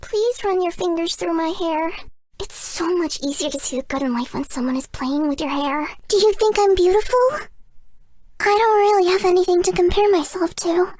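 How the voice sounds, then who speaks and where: A woman voicing a shy, sweet, excitable young female fox spirit for a video game, with a cutesy girlish voice. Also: extremely high pitch, moderate pace, no room sound. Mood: sweet, chatty. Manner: bashful, earnest, playful. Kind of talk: video game character voice lines.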